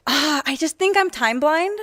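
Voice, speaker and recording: A woman's voice: high voice, animated, normal speed, a bit bright, no room sound, studio quality. A woman in her twenties from Guam, a dating show contestant, speaking casually and good-humouredly about herself. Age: 20s. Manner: self-deprecating, easygoing. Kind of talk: casual chat.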